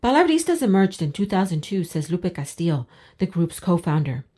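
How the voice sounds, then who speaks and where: A woman's voice: fairly low pitch, very animated, a little fast, mellow, slightly rough. A woman radio reporter reading a scripted news narration.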